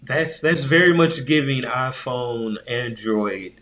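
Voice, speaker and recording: Animated, slangy podcast guy. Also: medium pitch, slow pace. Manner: animated storytelling, slangy and profane, repeats words for emphasis. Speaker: podcast co-host. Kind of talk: casual chat.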